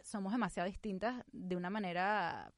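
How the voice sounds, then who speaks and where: A young adult woman with a Venezuelan accent, a guest telling stories in an animated way in a casual interview, dropping English phrases in now and then. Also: medium pitch, dry recording, clean studio sound. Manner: animated, mixes English phrases, quick storytelling. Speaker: guest, actress and comedian.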